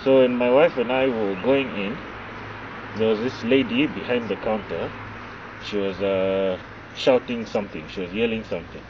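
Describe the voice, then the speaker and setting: A young man with a Zambian accent, recounting an incident in a hesitant, halting way, outdoors in a garden. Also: fairly low pitch. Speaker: interviewee. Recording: lo-fi outdoor sound with noise.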